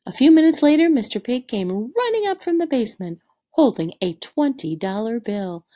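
A woman's voice: fairly high pitch, big pitch swings, moderate pace, warm tone. A woman teacher reading a picture book aloud to third graders, expressively and with direct questions to the children.

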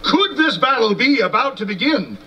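A man's voice: very high voice, big pitch swings, normal speed, sharp tone, muffled recording, heavily acted. A man playing a courteous, curious battlefield commentator in a live historical reenactment, with a formal announcer-like delivery. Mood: expectant, narrating. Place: outdoor stone amphitheater in open scrubland. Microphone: stand mics, recorded from a distant camera. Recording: distant outdoor recording, faint ambient noise.

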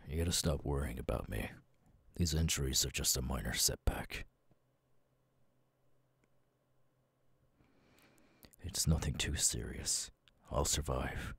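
A man playing a stoic, battle-hardened military captain with a husky, breathy voice in a dramatic ASMR audio roleplay, speaking in terse, deliberate phrases. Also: a bass voice, fairly bright tone, dry recording, heavily acted. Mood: calm, subdued. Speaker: voice actor, ASMR artist.

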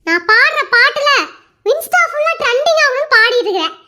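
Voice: extremely high pitch, very animated, a little fast, sharp tone, exaggerated voice. Someone voicing a whiny teenage boy. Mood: sulky boastful. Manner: whiny, defensive, dreamy. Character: teenage boy, cheeky aspiring singer. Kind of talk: comedy skit acting.

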